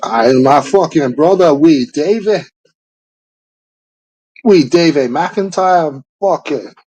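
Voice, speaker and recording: A man's voice: a little high, lively intonation, slow pace, a little mellow, poor audio. A man, rambling and swearing through a laid-back casual chat.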